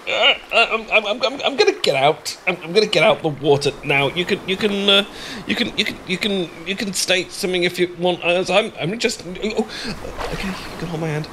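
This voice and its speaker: A man's voice: high voice, expressive, a little fast, few pauses, pushed and bright. A man with a British accent playing a shy, adorkable, flustered young man in love, stammering and hesitant in an ASMR boyfriend roleplay. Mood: flustered, panicky. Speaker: audio roleplay performer. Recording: clear background ambience noise.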